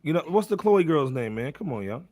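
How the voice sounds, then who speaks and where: A man with a fairly high voice hosts a casual music talk panel. He speaks in slangy, playful banter and repeats phrases to drive them home. The sound is dry and close. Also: sing-song, fairly quick, darkish tone, dry recording. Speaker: podcast host moderating a panel.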